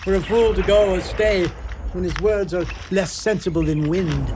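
A man's voice: sits high, moderate pace, close to an everyday voice. A man playing a weary, raging old mad king in an amateur radio drama, declaiming theatrically in archaic Shakespearean English. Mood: weary, wistful. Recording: clear storm-like background noise.

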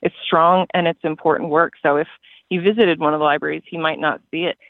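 An American man with a creaky, fairly high voice talks conversationally in an interview about his work. The audio is thin and band-limited, like a phone line. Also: fairly even intonation, fast talker, no room sound. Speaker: library system staffer being interviewed.

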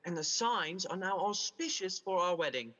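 A proud young man of business, acted by a man, speaks formally and with self-satisfaction. His pitch moves widely, and a long reverb trails the voice, as in a staged radio play. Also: lively intonation, normal speed, pushed and bright, full character voice. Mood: self-assured, cool. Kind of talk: stage-style radio drama acting.

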